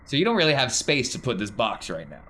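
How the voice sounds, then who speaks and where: A man's voice: medium pitch, huge pitch swings, quick pace, bright, forceful tone. A man acting as game master, casually narrating a tabletop game with playful asides. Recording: music behind the voice.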